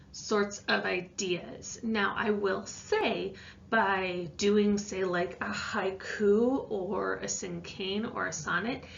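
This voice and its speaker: A woman's voice: medium pitch, creaky, highs cut off, slight background noise. A woman science teacher explaining an assignment in a casual, conversational lesson voice-over with informal asides.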